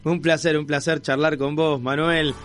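A man with an Argentine accent hosting a conversational radio interview, often repeating words in a halting way as he goes. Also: a little high, level tone, fairly bright tone, slight background noise. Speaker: radio show host.

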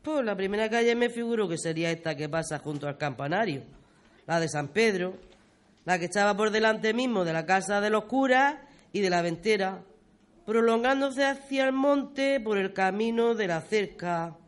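A woman's voice: medium pitch, expressive, normal speed, natural voice. A woman playing a proud village grandmother reminiscing in a radio play, with a Murcian accent and a folksy, exclamatory way of talking. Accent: Murcian Spanish. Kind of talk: radio play storytelling dialogue.

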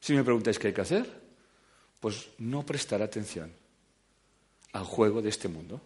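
Animated man lecturing an audience. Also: on the low side, moderate pace. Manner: animated, joking, swings between loud and quiet. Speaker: speaker giving a talk.

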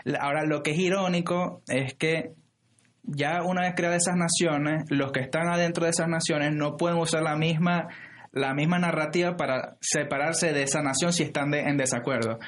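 A man's voice: fairly high pitch, steady tone, moderate pace, a bit bright. A man co-hosting a podcast, explaining ideas in casual political talk with many hesitations and restarts. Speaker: podcast co-host.

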